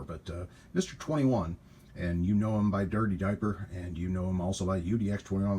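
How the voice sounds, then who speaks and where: A man's voice: low voice, a little mellow. A middle-aged man hosting a repair video, talking in a casual, informal and admiring way as he demonstrates at the bench. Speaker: host of a radio repair channel. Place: small indoor home workshop. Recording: noisy room ambience on camera mic.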